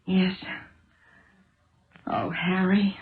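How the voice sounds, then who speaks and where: An actress playing a determined, emotional wife turned amateur sleuth in a 1970s radio drama, earnest and dramatic. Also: female voice, on the low side, level tone, extremely slow. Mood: tender, apologetic. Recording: muffled, roomy 1970s radio recording.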